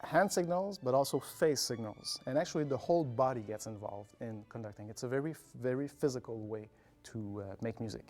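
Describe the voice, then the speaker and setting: A man's voice: medium pitch, brisk, a little mellow. Instructive male conductor over music. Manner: instructive, explains with examples and analogies. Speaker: orchestra conductor, artistic director. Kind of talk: explanatory interview answers.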